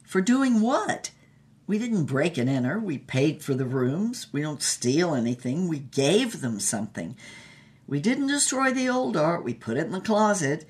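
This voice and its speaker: A woman's voice: sits low, very animated, unhurried, a little mellow. An actress playing a defiant, tender older woman artist, telling stories in an emphatic, theatrical way for an audio drama. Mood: mischievous pride. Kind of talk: audio drama acting, storytelling.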